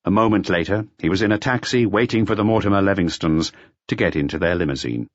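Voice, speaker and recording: A man's voice: deep voice, fast, dry recording, dull audio. A man reading scripted narration from a detective novel as an audiobook narrator.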